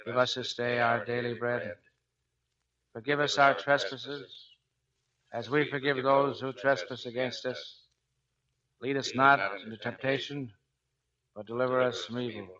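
A man's voice: medium pitch, steady tone, measured pace, pauses often, crowd noise, lightly acted. A man playing a tough, commanding but caring navy chief in 1940s radio acting. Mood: solemn. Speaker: actor in a radio drama. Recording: dull 1940s radio broadcast recording.

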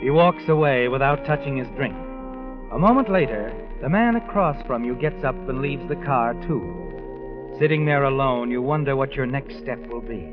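An actor plays an ominous, all-knowing man narrating a vintage radio mystery. He speaks dramatically at a middle pitch. The sound is dull and old, with prominent music underneath. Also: lightly acted. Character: omniscient male narrator addressing the heroine, knowing, ominous.

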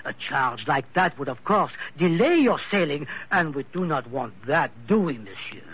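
A suave French investigator in a vintage radio thriller. His voice is high and husky, with a French accent and formal, courteous phrasing that swoops up and down. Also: sing-song, moderate pace. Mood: smooth, sly. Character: suave, suspicious Frenchman, insurance investigator with a pistol. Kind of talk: old-time radio drama acting. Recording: dull vintage radio recording.

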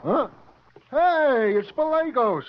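A chipper, naive police flunky. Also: male voice, extremely high pitch, very animated, slow, heavily acted. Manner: chipper, startled exclamations. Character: cheery, naive police flunky, easily surprised. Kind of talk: 1940s radio acting. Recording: dull lo-fi old radio recording.

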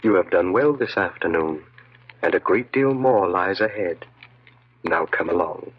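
A man's voice: low voice, animated, unhurried, slightly rough, exaggerated voice. An actor playing a commanding, mocking evil genius that speaks within a man's mind, in 1940s radio horror style. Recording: muffled old radio recording.

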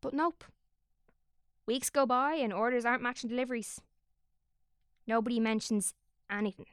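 A woman's voice: on the high side, moderate pace, smooth, no echo, studio quality. A young woman narrating an audio drama in a wry, conspiratorial, sweary way.

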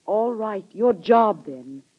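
A woman's voice: medium pitch, expressive, slow pace. An actress playing a protective, outspoken woman confidante in an old-time radio drama, insistent and fussing. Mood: indignant, protective. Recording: dull vintage radio recording.

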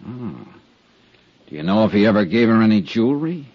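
Insurance investigator: husky, calm, conciliatory, 1950s radio style, dry muffled recording. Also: male voice, on the low side, brisk, dry recording. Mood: calm, probing. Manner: easy, conciliatory, wry. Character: calm, easygoing male private insurance investigator. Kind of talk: 1950s radio drama acting.

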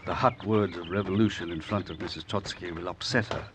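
An actor playing an aging, vain but genial exiled Russian revolutionary, deliberate and halting, in an old radio drama. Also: male voice, low-pitched, close to an everyday voice. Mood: genial, courtly. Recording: vintage radio recording with faint noise.

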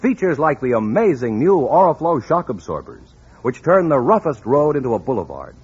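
Swooping, husky male radio pitchman. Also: sing-song, normal speed, darkish tone. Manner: emphatic salesman delivery. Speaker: commercial announcer. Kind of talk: 1950s radio advertisement, scripted. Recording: muffled old radio recording.

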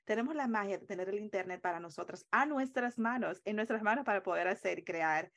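Dominican lady coach answering interview questions, talks really fast, emphatic. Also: mid-range voice, lively intonation, fairly bright tone. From Dominican Republic. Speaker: business coach, interview guest.

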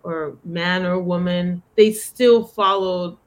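A woman's voice: lowish voice, slow pace. A woman from the Bronx with a New York accent, a podcast guest telling stories in a casual interview and voicing her past thoughts aloud. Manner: animated storytelling, quoting her own thoughts aloud.

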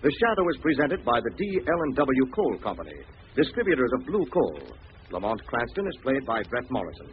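A man's voice: medium pitch, very animated, fairly quick, noisy background. A man doing formal, polished, scripted 1940s radio announcing on a tinny old recording. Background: music.

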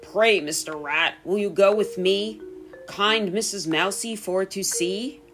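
A woman reading the part of a courteous gentleman frog in a storybook rhyme, formal and measured. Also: medium pitch, music in the background, lightly acted. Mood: courteous, jovial. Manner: formal, measured verse delivery. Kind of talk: storybook verse character voice.

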